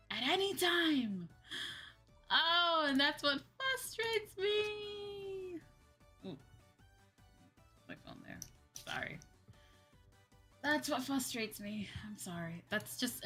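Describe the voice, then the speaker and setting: Woman, livestream host, casual rant, exasperated, dramatic, repetitive emphasis, average pitch, faint music behind. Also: fairly bright tone. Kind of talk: casual livestream chat, ranting.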